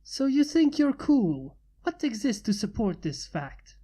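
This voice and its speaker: A composed male inventor with stiff, exact phrasing, voiced by a woman reading a story. The tone is mellow and level, never rushed. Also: fairly high pitch, big pitch swings, breathy edge, exaggerated voice. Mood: formal. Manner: stiff, precise, formal. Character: formal calm male human inventor.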